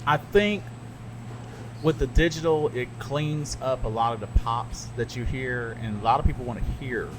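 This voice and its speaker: A middle-aged man, a radio DJ, chatting casually and telling stories with animated asides. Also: mid-range voice, moderate pace. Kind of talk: casual podcast chat. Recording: noisy background.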